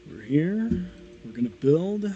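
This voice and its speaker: Man, casual streamer chat, slightly nasal, slow easygoing pace, mellow tone. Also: fairly high pitch, sing-song, lo-fi sound, faint music behind. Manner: laid-back, rambling with fillers. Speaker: streamer building model kits.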